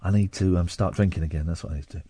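A man's voice: sits low, fairly even intonation, very fast, dark tone, a little hiss or hum. A man hosting a late-night radio phone-in, chatting and teasing in a playful way. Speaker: radio host. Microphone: studio microphone.

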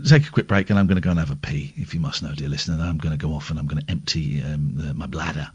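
A man hosting a late-night radio phone-in, rambling casually and cracking crude deadpan jokes. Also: rumbling low, very fast, slightly rough, lo-fi sound. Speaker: radio host.

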